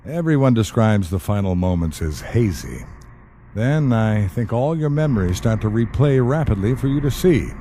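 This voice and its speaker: A man acting a calm, solemn afterlife agent in an audio play, measured, gentle and matter-of-fact. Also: lowish voice, slight background noise.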